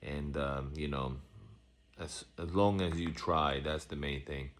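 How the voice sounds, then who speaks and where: A man's voice: rumbling low, steady tone, a little slow. A man hosting a casual live-stream Q&A as a vlogger, rambling loosely with many restarts and fillers. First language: Russian. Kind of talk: casual live-stream chat answering comments.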